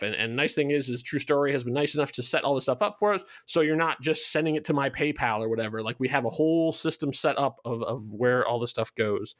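A man's voice: on the high side, no room sound, clean studio sound. A man speaking as a show creator in a casual promotional chat, rambling along in a jokey, self-deprecating way. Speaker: show creator being interviewed.

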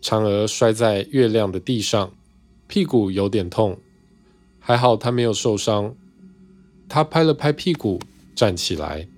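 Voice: mid-range voice, expressive, a little slow, darkish tone, faint music behind. A storyteller narrating a scripted children's tale in simple storybook phrasing.

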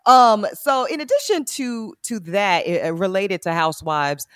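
A woman's voice: dry recording, studio quality. Frank, slangy woman podcast host. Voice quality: big booming voice. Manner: lively, frank and slangy, quick laughs. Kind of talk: casual podcast chat.

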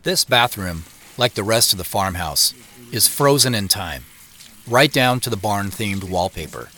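A man's voice: fairly low pitch, expressive, unhurried, pushed and bright, heavily acted. An actor playing a wistful, self-conscious man in his late thirties, narrating an audio drama in the first person with wry introspection.

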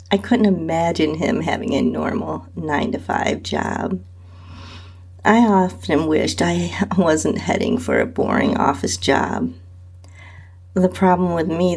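A woman narrates a first-person story at an unhurried pace. Her voice is husky with some gravelly fry, and her pitch moves in lively swings. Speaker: host reading own story.

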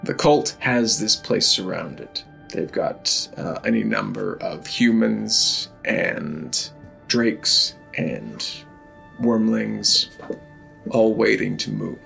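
Male game master, slow delivery, gravelly edge, improvised narration, halting phrases, music underneath. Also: on the low side, very animated, a bit bright. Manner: halting, pausing mid-sentence.

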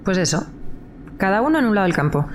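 A woman's voice: medium pitch, sing-song, fast talker, lightly acted. An actress playing a sarcastic, skeptical young woman dinner guest in a live audio drama, with a Castilian Spanish accent. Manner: dry, sarcastic. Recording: noticeable background noise, live recording.

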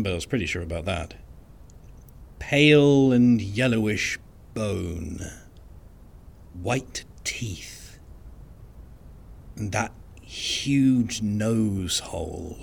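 A man playing an unassuming, wry and resigned archivist in an audio drama monologue, speaking in a dry, understated, conversational way with hesitant pauses. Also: on the low side, vocal fry, husky, exaggerated voice. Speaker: narrator of an audio fiction podcast.

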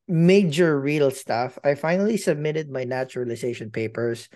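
A man giving personal updates in a casual chat, rambling and halting with many fillers and casual swearing. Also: mid-range voice, a little mellow.

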